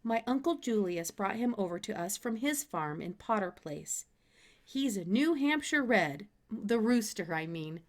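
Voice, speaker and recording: A woman reads a children's story aloud. Her voice sits at an ordinary middle pitch for a woman, and she narrates expressively as a storybook reader.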